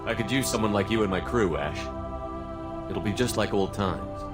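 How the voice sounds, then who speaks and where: Man playing weary space commander in a game, low measured voice, firm, loud soundtrack under it. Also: animated, natural voice. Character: steadfast, weary male military space commander. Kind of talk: video game voice acting. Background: loud music.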